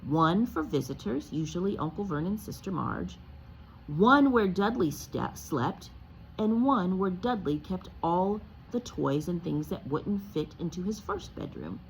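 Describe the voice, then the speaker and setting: Female read-aloud narrator: slightly low, lively intonation, expressive children's story delivery, faint noise. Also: a little slow, poor audio.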